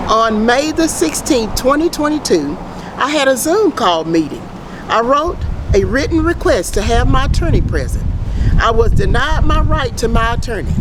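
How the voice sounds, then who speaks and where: Clear outdoor noise of traffic surrounds a middle-aged woman. She reads a written statement slowly and deliberately. Her delivery is formal and emphatic, with a pitch that moves up and down a great deal. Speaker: private citizen giving a public statement. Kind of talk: reading a prepared statement aloud.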